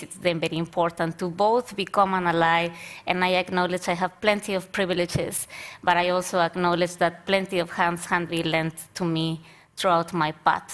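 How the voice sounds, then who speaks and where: A woman in her thirties from Mexico, speaking articulately as a panelist and diversity consultant, with easy laughs. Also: fairly low pitch. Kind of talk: panel discussion.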